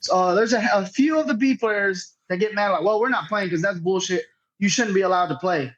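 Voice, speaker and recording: A man's voice: high-pitched, brisk, a bit bright. A man talks in a dull, lo-fi recording. He is informal and self-deprecating, often repeating himself as he explains things in casual anecdotes. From Northeast Texas, United States. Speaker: podcast guest, tournament director. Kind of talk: casual interview chat with anecdotes. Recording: dull, lo-fi remote audio.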